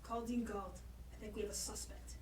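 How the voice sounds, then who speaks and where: A woman's voice: medium pitch, fairly even intonation, a little fast, lightly acted. A woman playing an arrogant, scheming young male college bully in an audio drama. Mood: smug, scheming. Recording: roomy echo, faint background noise.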